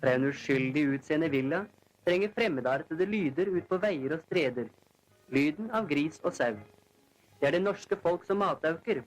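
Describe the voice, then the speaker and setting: A man's voice: a little high, animated, a little hiss or hum, rough recording. A man narrates a 1940s newsreel in a formal, declamatory announcer style, on a vintage film soundtrack. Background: newsreel background music.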